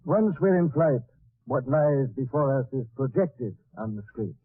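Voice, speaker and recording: A man's voice: medium pitch, lively intonation, a little slow, husky, dry recording. A man playing a reassuring professor who explains things precisely to a young space traveler in an old radio drama. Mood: helpful, instructive. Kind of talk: 1940s radio drama acting. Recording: tinny old radio recording with hiss.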